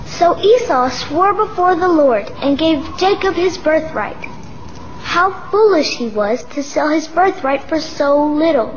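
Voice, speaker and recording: A woman's voice: sits high, animated, measured pace, slight background noise. A woman reading scripted narration for a children's Bible story. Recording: dull audio with music behind. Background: music.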